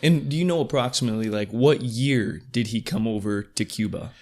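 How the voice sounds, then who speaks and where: A man's voice: medium pitch, fairly quick, darkish tone. A man hosting an interview podcast, delivering introductions and putting questions to his guest. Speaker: podcast host.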